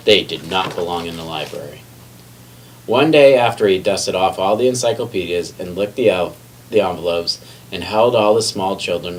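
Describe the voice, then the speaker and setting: A man reading a children's picture book aloud expressively, sometimes stumbling and correcting himself. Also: deep voice. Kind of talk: children's read-aloud storytelling. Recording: distant mic with faint background noise.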